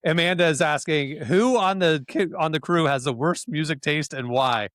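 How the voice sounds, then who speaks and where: A man hosting a podcast, reading listener questions in a relaxed, chatty, joking way. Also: a little high, moderate pace, dry recording. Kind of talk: casual chat.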